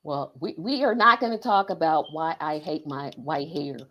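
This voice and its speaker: A woman's voice: low-pitched, moderate pace, fairly bright tone. A woman in her forties hosting a podcast interview, talking in a playful, self-teasing way. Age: 40s. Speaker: podcast host. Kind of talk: casual interview chat.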